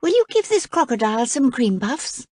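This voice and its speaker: A woman voicing a prim, polite young princess in a storybook reading, earnest and proper, raising her voice high. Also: sing-song, normal speed, darkish tone, dry recording, studio quality. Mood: gentle.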